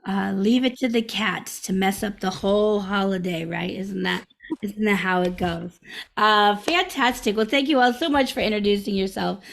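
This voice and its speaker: A woman's voice: mid-range voice, normal speed, gravelly, a bit bright. A woman hosting a live online improv comedy show over a video call, upbeat and chatty with many fillers and restarts.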